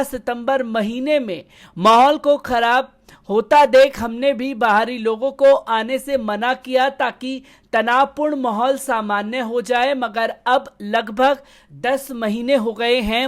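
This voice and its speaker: High-pitched woman presenting news. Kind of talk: news report narration and commentary.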